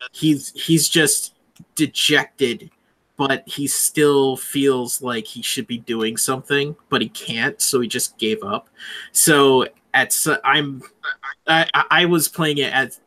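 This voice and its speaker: A man speaking casually and haltingly as an actor on a discussion panel, often repeating himself. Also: mid-range voice, steady tone, sharp tone, low-quality recording. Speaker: audio drama actor on a panel.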